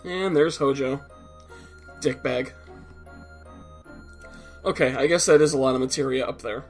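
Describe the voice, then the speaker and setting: A man's voice: mid-range voice, measured pace, soft background music. A man with a stuffed-up nose giving casual, explanatory commentary over a video game, blunt and a little profane at times. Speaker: let's play commentator.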